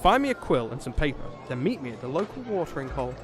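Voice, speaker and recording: An actor with a British accent playing a pompous, oblivious gentleman knight in a comedic fantasy audio drama. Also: on the high side, big pitch swings, quick pace, over a noisy crowd. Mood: eager, self-satisfied. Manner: pompous, theatrical, chatty.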